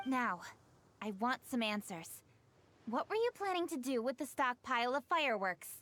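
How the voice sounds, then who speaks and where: A woman's voice: fairly high pitch, sing-song, moderate pace, fairly bright tone, a little hiss or hum. A woman playing a stern, composed, commanding young warrior in a video game cutscene. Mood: stern, threatening.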